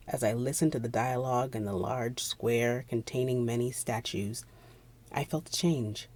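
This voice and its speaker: A narrator of unclear sex giving a theatrical dramatic reading of a gothic horror story, at times dropping to a breathy near-whisper. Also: medium pitch, mellow.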